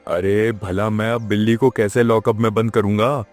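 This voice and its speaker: A man's voice: lowish voice, level tone, normal speed, a little mellow, faint music behind, natural voice. A man playing a weary, exasperated village police station chief who grumbles sarcastically in a children's cartoon. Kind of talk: children's cartoon moral story acting.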